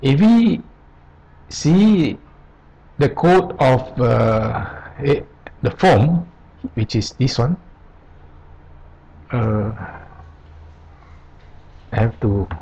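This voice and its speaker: A man presenting an unscripted software tutorial, explaining step by step in short, halting phrases. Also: medium pitch, animated, muffled recording, a little hiss or hum. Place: voice-over on a screen recording.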